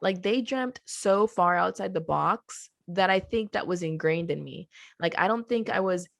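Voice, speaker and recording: A woman who grew up in Colombia telling her family story as a podcast guest, animated, laughing easily and slowing down for emphasis. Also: fairly low pitch, vocal fry, no echo, studio quality. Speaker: podcast guest, business co-founder. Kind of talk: casual storytelling interview. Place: at home with a child nearby.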